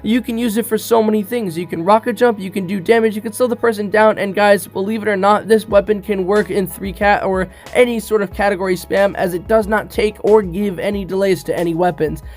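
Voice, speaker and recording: A young man talking casually about a mobile shooter game while sick, his voice a bit rough, with lots of fillers and constant "guys". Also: sits high, steady tone, fairly quick, few pauses. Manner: chatty, many fillers, keeps saying "guys". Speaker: gaming youtuber. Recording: faint music behind.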